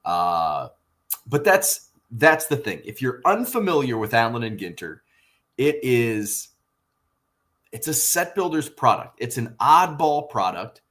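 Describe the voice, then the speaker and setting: A man with a husky morning voice chatting casually in a rambling way, filling pauses with lots of ums and uhs as he hosts his own vlog. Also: medium pitch, sing-song, fairly bright tone. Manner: rambling, filler-heavy, conversational. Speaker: card collecting vlogger.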